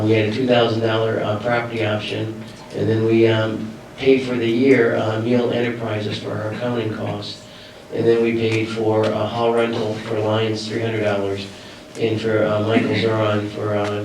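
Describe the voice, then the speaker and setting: A man with a head cold gives a treasurer's report at a board meeting. He sounds nasal and hesitates often with fillers. His voice is low and flat, and he sounds distant in a hall with heavy echo. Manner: halting, many uh and um fillers. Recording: echoey hall, distant mic, faint noise.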